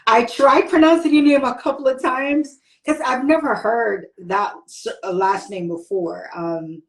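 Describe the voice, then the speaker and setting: A woman's voice: a little high, fairly even intonation, a little slow, reverberant, poor audio. A woman hosting her own interview show, chatty and meandering, often restarting her sentences. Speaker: talk show host. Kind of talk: casual interview chat.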